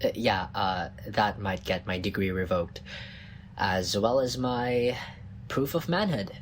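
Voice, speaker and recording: A man's voice: on the low side, slow pace, slight background noise. A man playing a tiny, cocky, bumbling scientist in a comedic audio roleplay, smug and flirtatious with a flustered stammer. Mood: sheepish, boastful.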